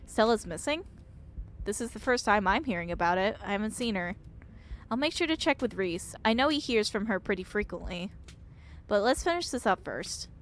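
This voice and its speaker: A woman's voice: medium pitch, expressive, normal speed, a little hiss or hum, natural voice. Woman reading as a stoic, dry older female doctor. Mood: skeptical, clinical. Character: stoic older female doctor, skeptical, clinical, matter-of-fact.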